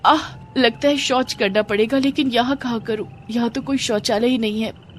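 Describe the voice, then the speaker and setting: A woman voicing a gentle, meek young wife who talks in a pleading, tearful way, in a cartoon story. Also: a little high, fairly even intonation, moderate pace, slight background noise, voice pushed up, natural voice.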